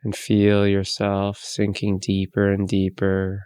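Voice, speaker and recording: A man leading a guided meditation in a gentle, unhurried way, leaving long pauses between phrases. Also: fairly low pitch, completely flat delivery, smooth, dry recording. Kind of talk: guided meditation, soothing instruction.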